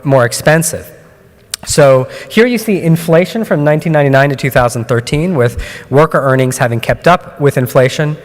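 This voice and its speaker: A man, an oncologist, gives a conference talk in a measured, explanatory way, often pausing on ums and restarting phrases. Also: on the high side. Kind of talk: conference presentation. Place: lecture hall. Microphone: microphone at a lectern. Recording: long hall reverb.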